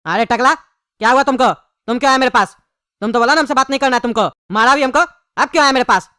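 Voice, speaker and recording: A high-voiced performer playing a querulous, haggling pumpkin-man prisoner in a comedy cartoon, with Bihari-style Hindi. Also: fast, bright, forceful tone, no room sound, clean studio sound. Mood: resentful. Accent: eastern Indian (Bihari-style) Hindi. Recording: clearly noisy background.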